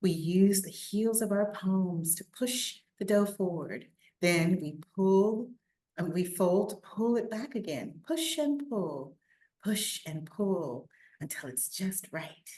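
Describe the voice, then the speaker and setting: A woman with a gentle, measured manner, talking informally and reading a children's story aloud. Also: mid-range voice, lively intonation. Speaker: children's book illustrator.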